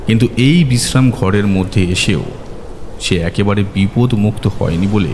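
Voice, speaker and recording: A man's voice: fairly low pitch, moderate pace, noisy background. A man reading a scripted horror story as a dramatic narrator. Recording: long reverb, music bed behind.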